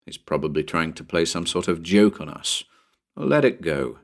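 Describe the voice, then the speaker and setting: A man's voice: medium pitch, huge pitch swings, unhurried, pitched above the performer's own voice. A man voicing an easygoing, wry male companion in a storybook, with a casual, teasing manner. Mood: soothing.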